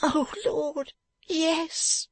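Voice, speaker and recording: Woman acting a whining, high-strained, battered young man. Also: sing-song, husky, no echo, exaggerated voice. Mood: wailing in pain. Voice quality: wailing, groaning, strained.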